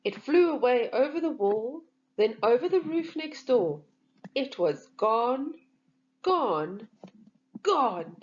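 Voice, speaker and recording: A woman reading a children's story in an expressive, deliberate way for young listeners, on a slightly dull recording. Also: a little high.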